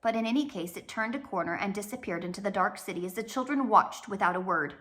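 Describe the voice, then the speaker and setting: A woman reads a children's novel aloud in a bright, mid-pitched voice. Her storytelling is expressive and dramatic, moving between swells and hushed drops. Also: quick pace. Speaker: storybook reader.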